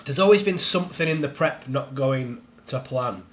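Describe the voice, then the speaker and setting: A man's voice: medium pitch, normal speed. Man with a head cold, nasal. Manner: relaxed, rambling, laughs easily. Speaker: strongman coach. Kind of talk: casual podcast chat.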